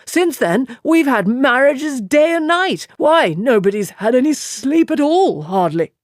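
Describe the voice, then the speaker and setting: A woman's voice: high-pitched, very animated, measured pace, dry recording, studio quality, pitched above the performer's own voice. A woman voicing a chattering, easily flustered village man in an audiobook reading. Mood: gossiping. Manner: breathless gossiping, stammering when nervous.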